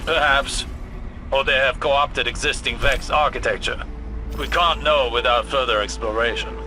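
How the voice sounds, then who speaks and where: A guarded ally on a video game mission. He is calm and stately, with a mid-range voice and deliberate, formal phrasing. Noticeable ambient noise sits behind him. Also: mid-range voice, poor audio.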